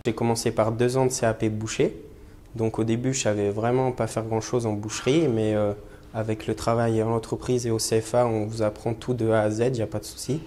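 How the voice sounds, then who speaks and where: Young man in his twenties from French Guiana, apprentice butcher; low, mellow, level delivery with hesitant fillers; faint ambience. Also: little pitch movement, brisk, a little hiss or hum. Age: 20s. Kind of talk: interview portrait.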